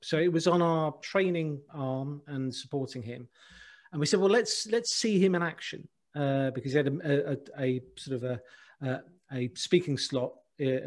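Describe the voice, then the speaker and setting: Middle-aged guy on a webcam call, slightly high voice, talks with his hands, lots of restarts. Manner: animated, gesturing, many restarts and repeated words. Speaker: presentation agency head, guest. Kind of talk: conversational podcast interview. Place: home office with bookshelves. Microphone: video call webcam.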